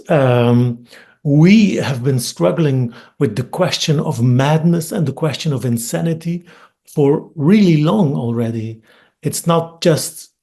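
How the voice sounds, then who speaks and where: A man with a Flemish Dutch accent gives a webinar talk in a dark, gravelly voice. He speaks thoughtfully, pausing on ums and restarting phrases as he searches for words. The sound comes through a computer mic on a video call. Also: fairly high pitch. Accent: Dutch-speaking Belgian. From Belgium. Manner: thoughtful, hesitant with frequent ums and repeated words. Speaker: academic speaker. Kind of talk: webinar lecture.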